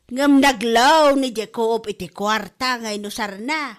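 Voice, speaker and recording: A high-voiced Ilocano village wife, acted by a woman in a comedy drama podcast. She nags and complains in exclamations, sounding fed up and worried about money. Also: a little slow, lightly acted. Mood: worried, complaining.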